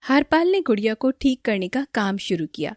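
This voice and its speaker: A woman's voice: fairly high pitch, big pitch swings, moderate pace, no echo, studio quality. A woman storyteller reading a scripted children's moral story as narrator.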